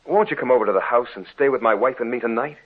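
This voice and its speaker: An actor playing an earnest, courteous, politely persistent husband in an old-time radio drama. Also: male voice, mid-range voice, racing, husky, close to an everyday voice. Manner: earnest, respectful. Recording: scratchy, narrow-band vintage radio recording.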